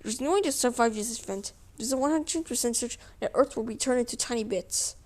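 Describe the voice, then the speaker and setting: A woman delivering a mock emergency broadcast announcement in a halting way, repeating words. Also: on the high side, darkish tone. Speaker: narrator of a mock emergency alert.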